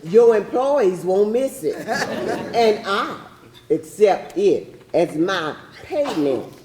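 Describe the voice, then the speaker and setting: A woman playing a sly, gleeful runaway slave in a theatrical monologue, with a drawn-out, mocking delivery. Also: medium pitch, sing-song. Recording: noisy, echoey hall, distant mic.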